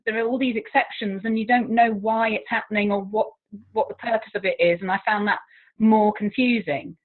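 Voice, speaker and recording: A woman's voice: medium pitch, steady tone, a little fast. Chatty English woman on a tinny line. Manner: chatty, self-deprecating, laughs often. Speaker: guest, languages teacher. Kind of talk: casual interview chat.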